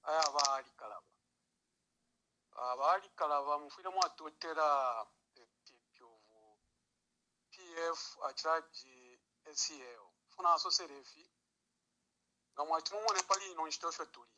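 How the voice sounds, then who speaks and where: A man's voice: on the high side, normal speed, long pauses, pushed and bright. A man with a Zambian accent giving spontaneous political commentary as a caller on a noisy phone line.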